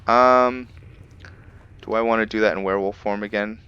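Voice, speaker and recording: A man giving casual gameplay commentary for a roleplay let's play, thinking aloud in a halting way. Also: lowish voice, steady tone, sharp tone, highs cut off, a little hiss or hum.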